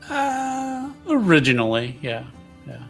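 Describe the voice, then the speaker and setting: A man's voice: high voice, wildly swooping pitch, slow pace, a bit bright, faint music behind, pitched above the performer's own voice, full character voice. A man voicing a short, flirtatious, easygoing tavern regular in a tabletop game, informal and repeating himself. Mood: flirty, easygoing.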